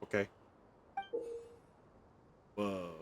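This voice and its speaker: A man's voice: extremely slow, noisy background. A man streaming a video game with casual, informal commentary, reacting aloud as he plays. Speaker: gaming streamer.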